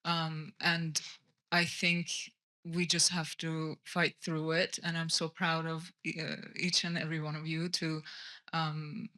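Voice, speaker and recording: Soft-spoken woman, smooth steady voice. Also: low voice, takes it slowly. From Iran. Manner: soft-spoken, hesitant, many ums. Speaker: documentary director on a festival panel. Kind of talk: panel talk. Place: festival panel lounge.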